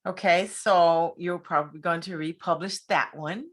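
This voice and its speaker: A woman's voice: fairly low pitch, steady tone, normal speed. A woman hosting a casual online interview, informal and encouraging as she asks her questions. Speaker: interview host.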